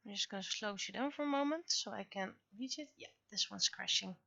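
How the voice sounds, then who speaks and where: A woman livestreamer chatting casually and haltingly, muttering to herself as she thinks aloud, on dull lo-fi audio. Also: medium pitch, creaky, sharp tone, dry recording. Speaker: livestreamer, webcomic artist.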